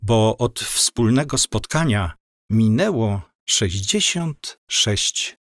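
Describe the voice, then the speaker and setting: A man narrating a scripted audio drama in a measured storytelling manner. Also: on the low side, animated, noisy background.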